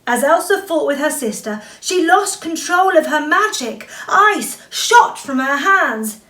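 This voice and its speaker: A woman's voice: high voice, animated, unhurried, bright, forceful tone. A woman reading a children's bedtime story expressively, drawing out words for dramatic emphasis. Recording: roomy echo, distant from the mic.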